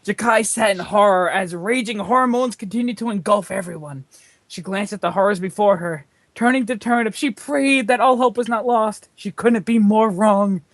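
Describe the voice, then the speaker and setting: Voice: medium pitch, normal speed. A person reading their own comic fan fiction aloud in a theatrical way, as a storyteller among friends. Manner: theatrical, often breaking into laughter.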